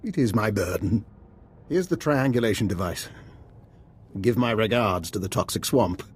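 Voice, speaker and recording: A man acting a courteous, calculating spy fixer in a video game. His voice sits low and he speaks with formal, measured politeness, over audible background ambience. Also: animated, slight background noise, close to an everyday voice. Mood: courteous, dry. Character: genteel, calculating imperial intelligence fixer, a man. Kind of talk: scripted game cutscene dialogue.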